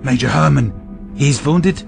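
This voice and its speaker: An actor playing a flustered, obedient army private in an audio drama. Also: male voice, medium pitch, expressive, slow, gravelly, music in the background, full character voice.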